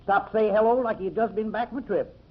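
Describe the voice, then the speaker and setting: An excitable, chatty small-town storekeeper in vintage radio drama. His voice is high and rough-edged, with folksy, hesitant patter. The old recording sounds muffled. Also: fast. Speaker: actor. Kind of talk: old-time radio mystery acting.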